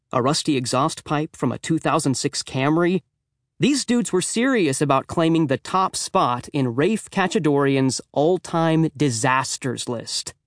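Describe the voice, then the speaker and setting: Higher-voiced man reading a children's audiobook. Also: no room sound, clean studio sound. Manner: wry, conversational, youthful first-person delivery. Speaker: audiobook narrator. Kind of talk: expressive scripted narration of a children's book.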